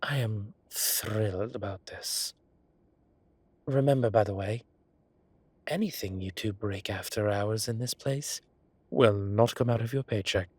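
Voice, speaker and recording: A man's voice: fairly low pitch, unhurried, pauses often, darkish tone, husky, no echo. A man playing a flamboyant, mischievous male boss in a roleplay audio drama, with an arch, playful, theatrical way of talking. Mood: gleeful, sly.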